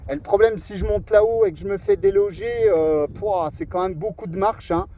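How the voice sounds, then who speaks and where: A man's voice: sits high, normal speed, dark tone, a little hiss or hum. A man in a casual radio chat, speaking as an amateur operator from outdoors. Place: outdoors on a seaside cliff.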